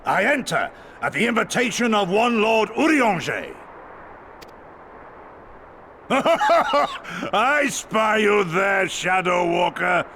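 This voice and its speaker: A man playing a hearty, honour-bound warrior retainer with a booming gravelly voice and formal archaic speech, in a video game. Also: sing-song, slow, pushed and bright, a little hiss or hum, full character voice. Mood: hearty, loud. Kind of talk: theatrical video game voice acting.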